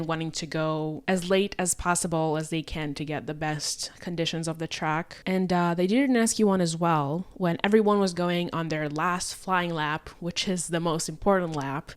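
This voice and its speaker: A woman's voice: on the low side, smooth. A woman with a German-like accent giving a casual, chatty, opinionated recap as a motorsport vlogger.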